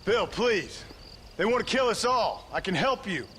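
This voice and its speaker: An actor playing an earnest, weary former outlaw in a Western video game, plain-spoken and polite, with an American accent. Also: male voice, high voice, big pitch swings, moderate pace, pushed and bright, big-room sound, slight background noise, exaggerated voice. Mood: pleading. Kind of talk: dramatic video game cutscene acting.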